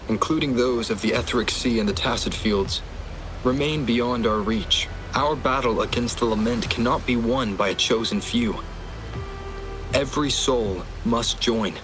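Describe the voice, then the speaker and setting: Solemn male leader, acted by a man: mid-pitched, measured, grave game monologue over music. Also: close to an everyday voice. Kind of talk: scripted dramatic game monologue.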